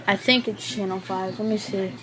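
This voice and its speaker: A woman vlogger doing a casual show-and-tell in a halting, informal way, on an amateur recording. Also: mid-range voice, steady tone, poor audio. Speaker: vlogger showing a weather radio collection.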